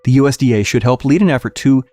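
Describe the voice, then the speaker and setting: A man's voice: medium pitch, fast talker, darkish tone, soft background music. A man reading a formal policy document aloud as a scripted podcast narration.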